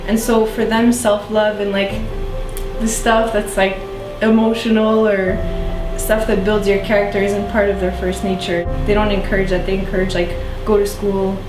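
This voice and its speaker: A woman of twenty-three from Canada talking about her upbringing in a relaxed way, with frequent "like"s. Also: mid-range voice, moderate pace. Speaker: interviewee. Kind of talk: casual personal testimony. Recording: echoey room with music underneath.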